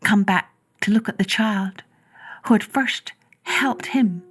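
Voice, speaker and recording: A woman storyteller reading a children's tale expressively, with gentle, drawn-out phrasing and touches of breathy whisper. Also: medium pitch.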